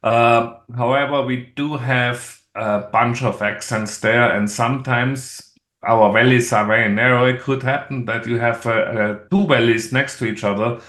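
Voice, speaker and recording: A middle-aged man with an Austrian accent, a native German speaker, talking as an interview guest in a halting, explanatory way. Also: mid-range voice, steady tone, a bit bright. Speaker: podcast guest, engineer. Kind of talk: conversational interview. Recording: roomy, echoey sound.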